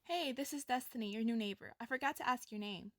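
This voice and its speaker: A woman's voice: on the high side, fast talker, dry recording, lightly acted. A woman playing a polite, earnest teenage girl in an amateur audio drama, sweet and devout. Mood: friendly.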